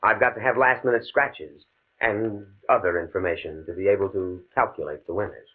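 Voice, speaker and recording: A man's voice: lowish voice, fairly even intonation, fairly quick. A man playing a determined, fatalistic professor in a 1950s radio drama, speaking in a grave, precise way. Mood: controlled, businesslike. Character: desperate, determined male professor, coolly fatalistic scientist. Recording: muffled, band-limited old radio recording.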